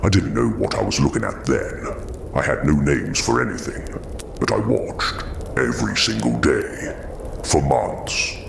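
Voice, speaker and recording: A haunted giant creature for an audio drama, super low and slow, gentle but weary, noisy background. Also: male voice, sing-song, gravelly, full character voice. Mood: haunted, remembering. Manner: slow, weighted, halting delivery.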